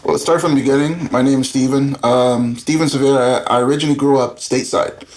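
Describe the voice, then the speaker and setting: A man's voice: mid-range voice, fairly even intonation, quick pace, low-quality recording. A man in his thirties who grew up in Florida, a guest telling his story in candid, rambling casual talk with many fillers. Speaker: guest entrepreneur. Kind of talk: casual interview chat.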